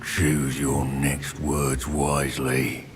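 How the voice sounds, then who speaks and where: A menacing goblin villain from a fantasy game, with an extremely deep voice. He talks slowly, mocking and threatening whoever faces him. Loud music plays underneath. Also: monotone, heavily acted. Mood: mocking menace. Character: menacing, imperious male goblin rebel villain. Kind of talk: fantasy video game acting.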